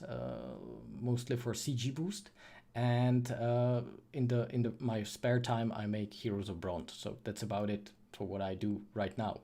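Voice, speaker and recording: A man with a Czech accent hosts a casual livestream. He talks informally and a little hesitantly, often rephrasing himself as he answers questions from viewers. His pitch sits in a middle range for a man. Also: dark tone. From Czech Republic. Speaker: livestream host, freelance 3D artist and course creator.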